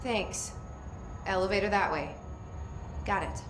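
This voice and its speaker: A woman's voice: medium pitch, takes it slowly, background noise, close to an everyday voice. A woman voicing a tentative, polite young newcomer in video game dialogue. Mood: polite, agreeable. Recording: music behind the voice.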